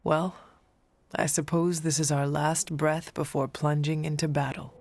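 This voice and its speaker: A woman's voice: deep voice, level tone, a little slow, darkish tone, dry recording. A woman playing an earnest, formal warrior from centuries ago in a video game. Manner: formal, stiff, no contractions.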